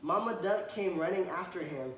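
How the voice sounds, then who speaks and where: A man reading a children's picture book aloud to a child, stopping to point at the pictures and ask questions, and often clearing his throat. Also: sits high, moderate pace, fairly bright tone. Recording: echoey room, faint background noise.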